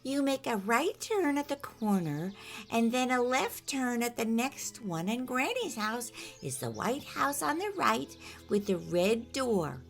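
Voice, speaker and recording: A woman voicing a sweet, excited little girl in a fairy tale. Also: a little slow, vocal fry, soft background music, full character voice. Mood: eager innocent. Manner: high, bright, chirpy.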